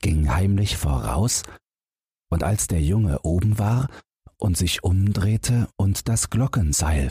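A man's voice: low voice, darkish tone, slightly rough, no echo, clean studio sound. A man reading a scripted fairy-tale audiobook narration for bedtime. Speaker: audiobook reader.

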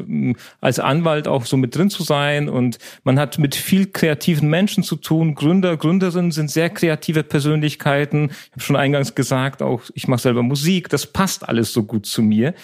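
A man whose first language is Polish, a lawyer and founder, answering interview questions in a relaxed, chatty way. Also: mid-range voice. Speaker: lawyer and app founder, guest.

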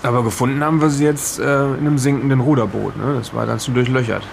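A man's voice: medium pitch, steady tone, fast, close to an everyday voice. A man playing a chatty, nosy aging fisherman in an improvised audio drama, rambling and repeating himself. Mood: curious, probing. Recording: clearly noisy background, vehicle-like ambience.

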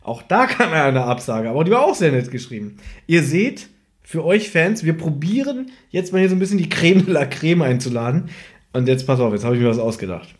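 A man hosts a fan podcast from home with a slightly high voice. His delivery is casual and humorous, like relaxed talk with a friend over a shared microphone. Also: brisk. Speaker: podcast host and audio drama fan. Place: living room at home. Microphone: one microphone shared with co-host.

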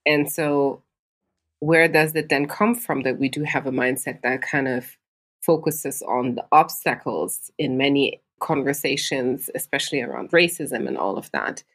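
Low husky woman podcast host. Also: unhurried. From Africa. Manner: thoughtful, asks long framing questions. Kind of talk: interview conversation.

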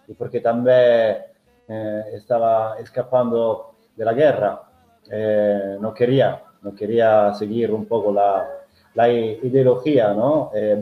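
A middle-aged man with an Italian accent, a native Italian speaker, telling a personal family story as an interview guest, thoughtful and full of hesitant filler sounds. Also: fairly low pitch. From Italy. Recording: echoey, muffled lo-fi recording.

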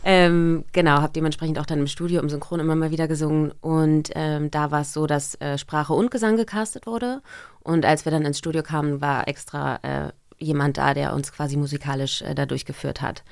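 A woman in her thirties talks easily and warmly about her voice work as an interview guest. Her voice is low with a gravelly edge. The sound is dry and close. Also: fairly even intonation, brisk, no room sound. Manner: friendly, chatty, laughs easily. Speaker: voice actress, guest. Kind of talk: casual interview.

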